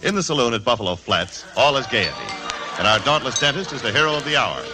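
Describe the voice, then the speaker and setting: A man is a grand Western serial narrator on old-time radio. His mid-pitched voice is lively and dramatic, with clear music underneath. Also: quick pace, close to an everyday voice, rough recording. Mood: dramatic, wry. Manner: grandiose, storytelling. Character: grand tongue-in-cheek Western serial announcer.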